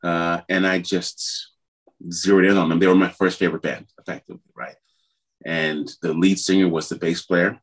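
A man's voice: low voice, steady tone, moderate pace. A middle-aged man from Trinidad, a musician guest telling his story in a rambling, hesitant way with many fillers. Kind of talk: conversational interview.

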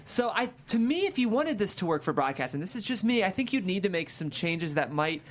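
A man's voice: high-pitched, very animated, fairly quick. A man hosting a casual panel discussion, talking in a hedging, conversational way. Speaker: panel show host. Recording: clear background room noise.

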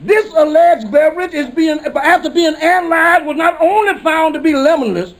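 A very high-voiced pompous lawyer. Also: male voice, normal speed, poor audio. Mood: pompous, indignant. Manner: pompous mock-legal declaiming, blustery. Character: Calhoun, pompous blustering male lawyer lodge member. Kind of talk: 1950s radio comedy acting.

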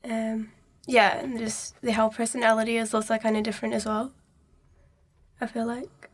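A woman's voice: a little high, level tone, moderate pace. A nineteen-year-old woman, chatting informally and tentatively in an interview. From New Zealand, born in Nepal. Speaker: podcast guest, university student. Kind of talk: casual interview chat.

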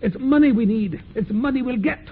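A noisy old radio drama recording carries an aged farmer. His voice is high and creaky, with pious thee-and-thou phrasing and a stern, anxious temper. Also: brisk, phone-quality sound. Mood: stern, threatening. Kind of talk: 1940s radio acting.

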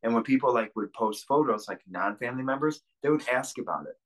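A man's voice: mid-range voice, expressive, fairly quick, poor audio. A man speaking as a podcast guest, talking candidly and rambling, with many fillers and restarts. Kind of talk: candid interview talk.

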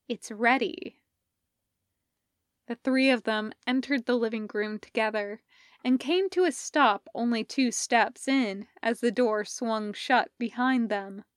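A woman reading scripted fiction as a narrator, measured and expressive in her storytelling. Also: a little high, clean tone, no echo, clean studio sound. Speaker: podfic narrator.

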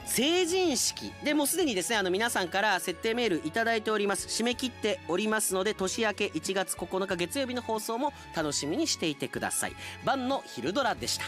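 A man's voice: high-pitched, sing-song, brisk, hardly any pauses, fairly bright tone, faint music behind. A man hosting a radio show, chatting casually and playfully like an announcer, with conversational asides.

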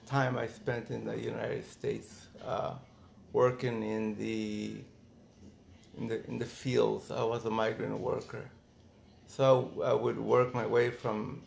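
A middle-aged man from Mexico reminiscing casually in an interview, thinking aloud in halting phrases with false starts. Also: mid-range voice, vocal fry, slight background noise. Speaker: interviewee, former migrant worker.

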